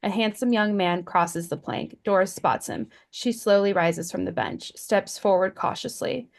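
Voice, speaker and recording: A woman's voice: mid-range voice, measured pace, gravelly. A woman reading stage directions as scripted narration at a screenplay table read.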